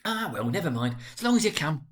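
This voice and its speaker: High-pitched bustling mother, put on by a man. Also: very animated, fast, fairly bright tone. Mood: affectionate, bustling. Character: fussing, affectionate working-class mother.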